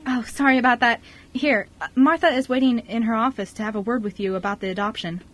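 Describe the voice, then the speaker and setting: A woman's voice: on the high side, steady tone, quick pace, natural voice. A woman playing a flustered, friendly young orphanage worker in an amateur radio play, eager and apologetic.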